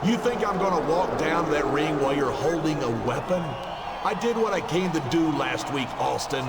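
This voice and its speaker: A man's voice: fairly high pitch, lively intonation, normal speed. A man acting an arrogant, wealthy wrestling champion, boasting in a pompous, declamatory promo style. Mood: scornful, defiant. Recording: loud arena crowd noise behind.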